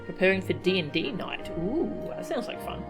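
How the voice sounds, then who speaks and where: A woman's voice: mid-range voice, big pitch swings, moderate pace. A woman chatting casually as she streams a game, commenting on what happens as she plays. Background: game music.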